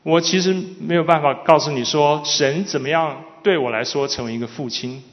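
A man's voice: on the high side, a little fast. A man interpreting a sermon consecutively, delivering it phrase by phrase. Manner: follows the preacher phrase by phrase. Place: large reverberant hall. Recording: dull, reverberant large hall sound.

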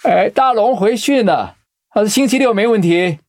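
A man acting an easygoing, caring middle-aged husband, a chaplain, in an expressive radio drama style. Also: sits high, moderate pace, a little hiss or hum. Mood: cheerful. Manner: expressive, conversational.

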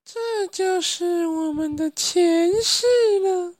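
A woman's voice: very high voice, slow pace, soft background music. A young woman playing a solemn princess spirit giving instructions in an amateur radio drama. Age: young adult. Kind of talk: student radio drama acting.

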